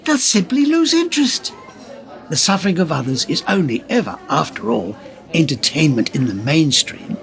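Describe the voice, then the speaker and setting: A man's voice: a little high, a bit bright, heavily acted. A man acting a cynical, smugly superior government conspirator in a radio play, speechifying in a mocking, theatrical way.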